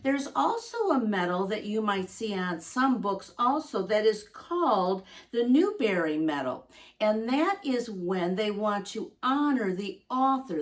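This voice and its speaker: A woman hosting storytime for young children, reading aloud and speaking directly to them with gentle questions and simple explanations. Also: mid-range voice, recorded from a distance. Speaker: children's storytime host and reader.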